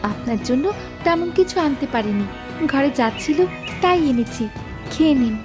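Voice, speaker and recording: A high-voiced, caring young village girl, played by a woman in a cartoon story. She sounds sweet, dutiful and attentive, with music clearly behind her. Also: animated, measured pace. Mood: kind, helpful.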